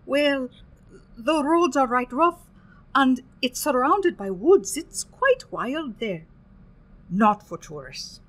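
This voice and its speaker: Lilting, high-pitched Irish innkeeper. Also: female voice, sing-song, slow pace, exaggerated voice, rough recording. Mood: evasive, discouraging. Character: homely, superstitious Irish woman innkeeper, hospitable but guarded. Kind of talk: radio play acting.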